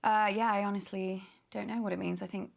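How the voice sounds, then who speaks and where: An actress playing an awkward young family member, hesitant and self-doubting as she quietly probes for answers. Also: mid-range voice, little pitch movement, a little fast, creaky. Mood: hesitant, wry. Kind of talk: naturalistic screen drama dialogue.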